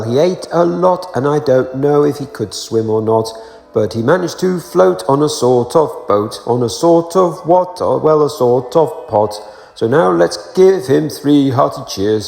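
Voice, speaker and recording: A man voicing a simple, good-natured storybook bear chanting to himself in an anxious, drawn-out sing-song. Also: mid-range voice, vocal fry, darkish tone, pitched above the performer's own voice. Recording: roomy echo, long reverb, faint music.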